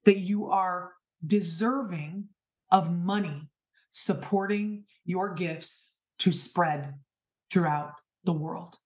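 A woman in her thirties speaking in a fairly low register. She gives an inspirational spiritual monologue, earnest and emphatic, and leans on repeated rhetorical questions. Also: slow, darkish tone. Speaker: podcast host.

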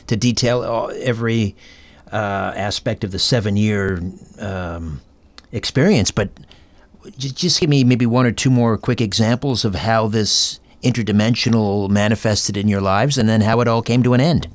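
A man's voice: fairly low pitch, normal speed, dry recording. A man hosting an interview in a conversational style, putting questions to his guests. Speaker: interview host.

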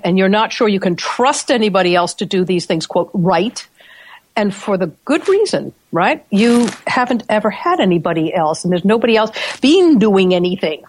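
A woman's voice: medium pitch, a little mellow. A woman hosting a business podcast who explains her points conversationally and keeps checking in with tag questions. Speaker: podcast host.

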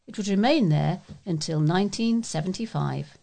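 A woman's voice: lowish voice, lively intonation, normal speed, gravelly, dark tone. A woman reading scripted local news aloud as a volunteer newsreader. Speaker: volunteer newsreader for a talking newspaper.

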